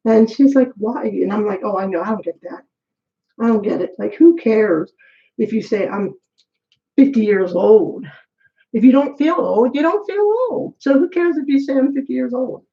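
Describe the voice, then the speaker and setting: Woman, middle-aged, short of breath, rambling casual chat, gravelly voice, dull recording. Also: mid-range voice, mellow. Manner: rambling, candid, talks to herself. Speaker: vlogger. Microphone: camera while braiding her hair.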